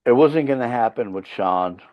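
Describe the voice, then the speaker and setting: A man's voice: mid-range voice, animated, normal speed. Finnish-born guy, swedish first language, rock band leader on a podcast, cusses a lot and gets emphatic, kinda lo-fi sound. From Finland. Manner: blunt, profane, emphatic storytelling. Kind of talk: casual interview chat.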